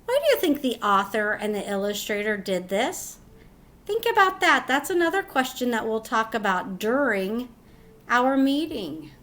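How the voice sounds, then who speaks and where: A woman teacher reading a picture book to young children, expressive and instructive, pausing to ask questions. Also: fairly high pitch, slight background noise. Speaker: teacher reading aloud to pupils.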